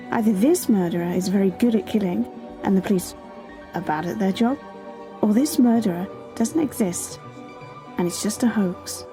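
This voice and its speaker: A woman's voice: mid-range voice, close to an everyday voice. A young woman playing a level-headed, dryly teasing college student in an amateur audio drama.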